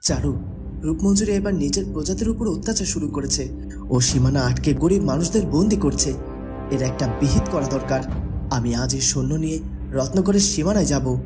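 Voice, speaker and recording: A man's voice: on the high side, expressive, normal speed, over music, natural voice. A man voicing an earnest, devoted young prince in a fairy-tale cartoon, speaking in a formal dramatic way. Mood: urgent, stern.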